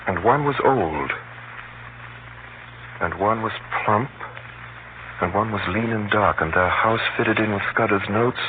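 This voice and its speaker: Male actor, low-voiced gentleman hero, brooding first-person storyteller, old-time radio acting, narrow hissy broadcast sound. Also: natural voice. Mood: brooding, tense. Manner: deliberate, suspenseful storytelling. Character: adventurous gentleman hero narrating his tale, doubtful yet resolute. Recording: noisy, tinny 1930s radio broadcast.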